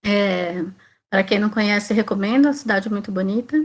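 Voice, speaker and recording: A woman's voice: mid-range voice, brisk. A Brazilian woman in her forties from Salvador, Bahia, giving an informal talk as a teacher, conversational and often checking in with tag questions. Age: 40s. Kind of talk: informal online talk about own career.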